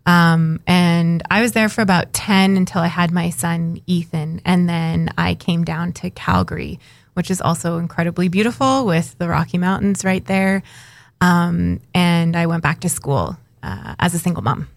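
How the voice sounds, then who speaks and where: A woman in her thirties from Northern Alberta answers an interviewer's questions, pausing often with ums and uhs. Her voice is on the low side and sounds dry and close. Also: creaky, dry recording. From Northern Alberta, Canada. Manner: hesitant, many ums and uhs. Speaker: interview guest.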